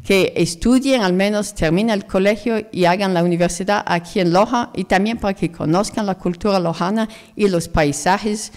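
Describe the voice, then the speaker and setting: A woman's voice: medium pitch, moderate pace, few pauses, darkish tone, in a large hall. A middle-aged British woman from Jersey, speaking as an ecologist guest in a radio interview, earnest and reflective. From Jersey, United Kingdom. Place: radio studio.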